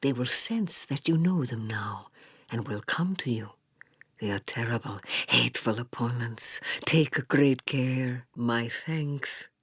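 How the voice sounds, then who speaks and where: Slow, husky old village elder. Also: female voice, extremely low pitch, no room sound, heavily acted. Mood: grave warning. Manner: slow, solemn, halting, courteous. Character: grave, kindly old female village elder and magic teacher. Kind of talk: fantasy video game voice acting.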